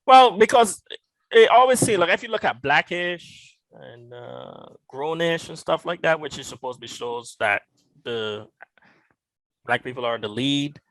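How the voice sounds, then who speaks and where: A man's voice: fairly high pitch, lively intonation, measured pace, fairly bright tone, no echo, low-quality recording. A man from Barbados co-hosting a podcast, chatting loosely and swearing freely. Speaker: podcast co-host. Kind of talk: casual chat.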